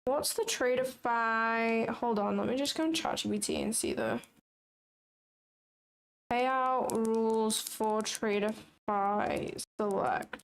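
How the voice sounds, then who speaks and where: A woman's voice: on the high side, sing-song, slow. A young adult woman chatting casually on a trading livestream, blunt and sarcastic, swearing freely.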